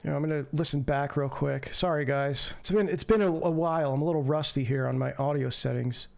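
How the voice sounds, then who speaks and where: A man's voice: on the high side, a little fast, vocal fry, darkish tone. Man hosting a rambling livestream. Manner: rambling, lots of fillers and restarts. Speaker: livestream host. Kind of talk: casual call-in livestream commentary. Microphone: home streaming mic.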